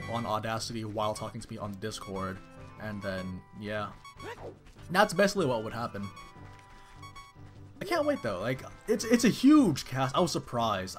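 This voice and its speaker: Animated, rambling male streamer. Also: mid-range voice. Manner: excitable, rambling, many restarts. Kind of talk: casual live-stream chat while playing.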